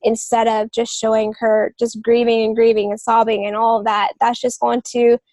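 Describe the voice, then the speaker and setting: A woman's voice: fairly high pitch, monotone, normal speed, a little mellow, no echo. A woman co-hosting a podcast, giving writing advice in an informal, explanatory, conversational way. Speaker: podcast co-host, novelist.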